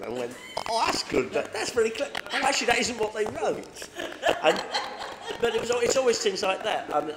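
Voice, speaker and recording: Animated man chatting over noise. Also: mid-range voice. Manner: chatty, animated storyteller, frequent tag questions. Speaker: author, interview guest. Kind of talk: casual pub chat, anecdotes. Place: pub in Brighton. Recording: noisy pub recording with clicks.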